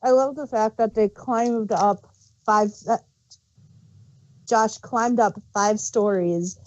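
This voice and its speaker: A woman co-hosting a casual review podcast, speaking in a halting way and often restarting her sentences. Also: on the high side, a little mellow, dry recording. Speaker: podcast co-host reviewing audio dramas. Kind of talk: casual unscripted chat. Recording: dull, dry podcast microphone.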